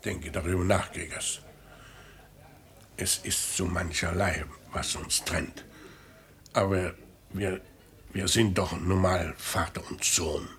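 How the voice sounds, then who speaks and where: A man acting an aging, imperious merchant father in a classic radio drama, speaking in a measured, formal way that can flare into indignation. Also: deep voice, steady tone, a bit bright. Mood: measured, persuasive.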